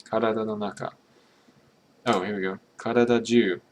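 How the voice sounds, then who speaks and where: Male streamer, lowish voice, tentative musing commentary, faint hiss and typing in the background. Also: fairly even intonation, moderate pace. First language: English. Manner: musing, tentative, mutters Japanese readings to himself. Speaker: streamer translating a game. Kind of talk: casual thinking-aloud commentary.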